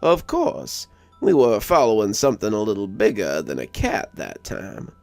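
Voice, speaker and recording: A man's voice: fairly low pitch, wildly swooping pitch, moderate pace, vocal fry, no room sound, faint music behind, full character voice. A man voicing a wry old mountain grandpa who tells folksy stories in an audio horror tale. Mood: wry, teasing.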